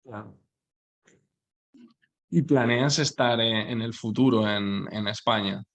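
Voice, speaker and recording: A man's voice: fairly low pitch, takes it slowly. A man, a language coach, hosting an interview and encouraging his guest with frequent short affirmations.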